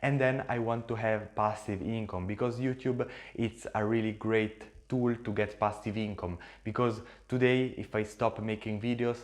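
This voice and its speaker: A man whose first language is Italian, speaking English with an Italian accent in an earnest, repetitive way, talking to camera with frequent fillers and restarts. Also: on the low side. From Switzerland. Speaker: YouTuber and agency owner. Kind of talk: casual talk to camera.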